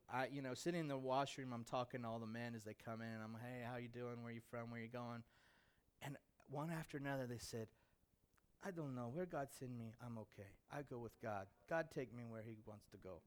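Smooth-voiced American pastor, conversational. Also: male voice, medium pitch, normal speed. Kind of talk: informal church presentation of a mission trip.

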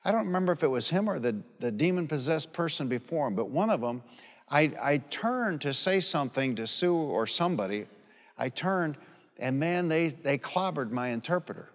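A man's voice: fairly high pitch, animated, moderate pace. A man of sixty-seven preaching a sermon in a folksy, emphatic way, repeating phrases and telling personal stories. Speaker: pastor preaching. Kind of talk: sermon with personal anecdotes and calls for amen. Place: large hall or church, preaching to a congregation. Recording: long hall reverb on live sermon.

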